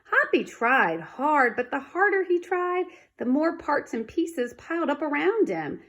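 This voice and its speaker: A woman reading a children's storybook aloud in an expressive, dramatic manner. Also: high-pitched, a little slow, dull audio. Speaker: storybook reader.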